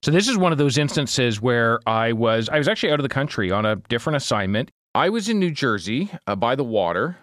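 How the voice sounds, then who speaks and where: A man's voice: mid-range voice, big pitch swings, fast talker, few pauses, fairly bright tone, no echo, studio quality. A man speaking as an investigative journalist, telling a story in an informal, conversational way with emphatic delivery.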